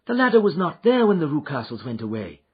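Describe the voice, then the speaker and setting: An anxious young governess , voiced by a male reader, speaks in a tense, raised voice. Her tone is husky, and the recording is dull and lo-fi. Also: a little high, big pitch swings, fast, voice pushed up, exaggerated voice. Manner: urgent, tense.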